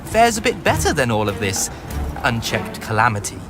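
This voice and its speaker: A man's voice: mid-range voice, huge pitch swings, normal speed, over music. A man playing a pompous, verbose and sarcastic battle mage in a video game cutscene. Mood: mocking, sarcastic. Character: glib, pompous, witty male battle mage.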